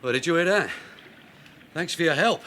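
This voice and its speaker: An English actor playing a seasoned, wry police detective sergeant in a British radio drama. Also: male voice, fairly high pitch, lively intonation, moderate pace, bright, forceful tone, a little hiss or hum. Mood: wry, grumbling.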